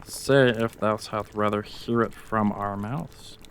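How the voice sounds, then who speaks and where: A man playing a commanding chief witch, delivering measured, solemn incantations in a dramatic Shakespeare reading. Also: fairly low pitch, steady tone, smooth.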